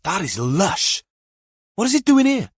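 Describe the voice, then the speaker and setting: Audiobook role: reckless older teen boy played by a male narrator, raised pitch, husky, swinging intonation, sweary and whiny. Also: huge pitch swings, unhurried, dry recording, clean studio sound, heavily acted. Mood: excited. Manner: whining, swearing, goading. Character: cocky, foul-mouthed older teenage boy, impatient and reckless.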